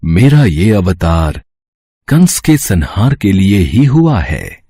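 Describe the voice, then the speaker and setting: A man voicing a calm, majestic male god who makes solemn proclamations in a mythological story. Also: deep voice, slow, breathy edge, dry recording, full character voice. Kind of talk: Hindi mythological audio story acting.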